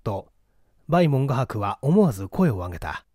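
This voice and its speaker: A man's voice: mid-range voice, very animated, moderate pace, warm tone, no room sound, studio quality. A man reading a classic mystery novel as an audiobook narrator, in formal, dramatic storytelling style.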